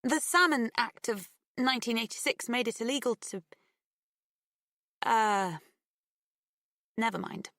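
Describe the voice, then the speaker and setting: A woman's voice: on the high side, measured pace, pauses often, sharp tone, dry recording, clean studio sound, pitched above the performer's own voice. Woman playing a nervous, earnest teenage girl sleuth. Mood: flustered.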